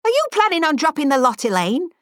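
A woman voicing a sharp-tongued elderly former headmistress who speaks bluntly and scolds. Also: high-pitched, sing-song, fast, no echo, studio quality, voice pushed up. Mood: sarcastic.

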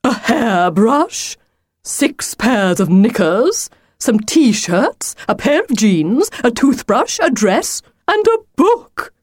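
A woman's voice: medium pitch, big pitch swings, slow, a little mellow, dry recording, clean studio sound, pitched above the performer's own voice. A woman voicing a pompous, insistent male storybook wolf with a grandiose, wheedling, theatrical manner. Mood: dismayed. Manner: grandiose, emphatic, wheedling old-fashioned phrasing.

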